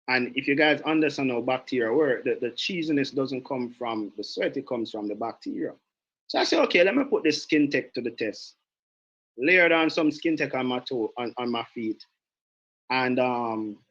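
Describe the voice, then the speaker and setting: A man's voice: medium pitch, dull audio. Jamaican man leading a chatty call. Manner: chatty, repeats himself, goes off on tangents. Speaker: sales team leader giving a training. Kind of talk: casual online training call.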